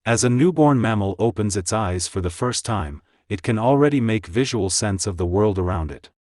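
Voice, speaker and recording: Lowish-voiced man reading aloud. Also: animated, moderate pace, darkish tone, no echo, clean studio sound. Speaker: read-aloud narrator. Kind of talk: scripted read-aloud narration.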